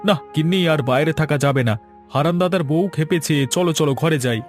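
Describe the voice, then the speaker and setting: A man voicing a doting, flattering and mocking village husband in a cartoon, with an exaggerated wheedling manner. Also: fairly high pitch, quick pace, lightly acted. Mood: teasing. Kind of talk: animated cartoon voice acting. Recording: music under the voice.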